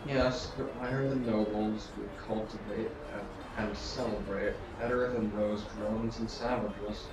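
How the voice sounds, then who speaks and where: A man's voice: fairly low pitch, steady tone, a little slow, over loud music, lightly acted. A man playing an earnest, idealistic young revolutionary councillor in an audio drama, polite and questioning. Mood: troubled, principled. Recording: roomy, distant mic with clear noise.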